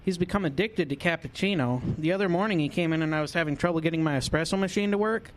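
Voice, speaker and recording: A woman's voice: fairly low pitch, quick pace, a little hiss or hum, lightly acted, rough recording. A woman playing a friendly, gossipy small-town coffee shop server in a radio drama. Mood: chatty.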